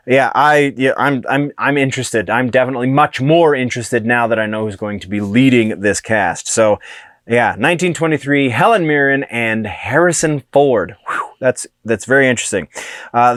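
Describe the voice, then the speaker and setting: A young American man rattles through TV and streaming news in a chatty, excitable way, stumbling over himself and restarting often. His voice sits at a middle pitch. The sound is dry and close. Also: no room sound. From United States. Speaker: host of a TV and streaming news show. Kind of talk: casual news commentary.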